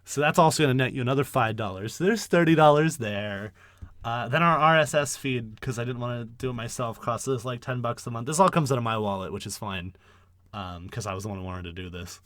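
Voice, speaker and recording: A man hosting a casual podcast, with a brash, low voice and a rambling way of talking full of fillers and restarts. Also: brisk, fairly bright tone, no echo. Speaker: podcast host.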